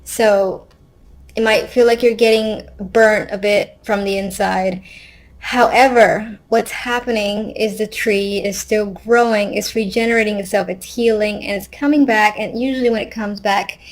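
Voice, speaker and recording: A woman's voice: mid-range voice, fairly even intonation, unhurried. A woman giving a casual, unscripted tarot reading, thinking aloud conversationally with wry asides. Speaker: tarot card reader.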